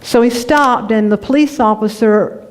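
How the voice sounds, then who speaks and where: A woman from North Carolina, a minister giving a lively, conversational sermon in a church, laughing often as she tells stories. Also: fairly high pitch, unhurried. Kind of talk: sermon with storytelling. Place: church sanctuary.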